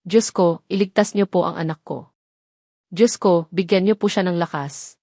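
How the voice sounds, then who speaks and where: A woman's voice: lowish voice, moderate pace, mellow, no room sound, clean studio sound. A woman voicing an anxious, prayerful mother in an audio romance drama, pleading and earnest.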